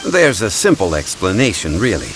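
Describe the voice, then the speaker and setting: An actor playing a composed, learned gentleman in a video game, speaking in a measured, formal way over a hissy capture. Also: wildly swooping pitch, full character voice. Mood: calm, assured. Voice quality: low-pitched. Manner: measured, formal, unhurried reasoning. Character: composed, learned gentleman scholar, calmly contradicting others. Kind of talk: English video game voice acting.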